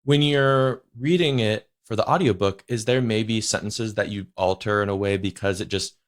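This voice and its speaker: Rambling, slightly low-voiced male host. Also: normal speed. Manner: rambling, heavy use of "you know" and "like". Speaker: podcast host. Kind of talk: casual interview chat.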